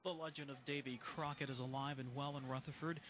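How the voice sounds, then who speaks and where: A man's voice: mid-range voice, fairly even intonation, brisk. A man with an American accent reading scripted local TV news as an anchor and reporter. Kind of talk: scripted local TV newscast delivery. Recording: noticeable background noise.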